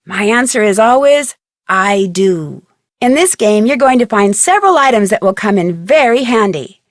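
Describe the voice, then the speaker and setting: A woman's voice: mid-range voice, animated, moderate pace, dry recording, clean studio sound, natural voice. An actress playing a friendly, inquisitive young female detective, speaking upbeat video game narration and dialogue.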